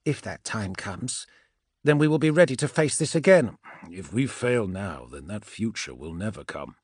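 A man voicing a stern, doubting warrior in a science fiction audiobook, grave, formal and terse, in a low soft growl. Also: animated, moderate pace, slightly rough, no room sound, studio quality. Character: stern, taciturn, doubting armoured male warrior.

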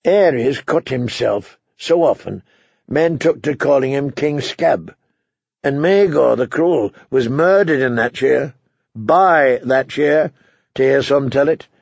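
An unbending, bitter king acted by a man in a fantasy audiobook. He speaks in a husky, creaking voice with slow, humorless authority. The recording is dry. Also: mid-range voice, lively intonation, a little mellow, no echo, dull audio, exaggerated voice. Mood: bitter, brooding. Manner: commanding, clipped, humorless. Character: stern, unbending, bitter king of iron will.

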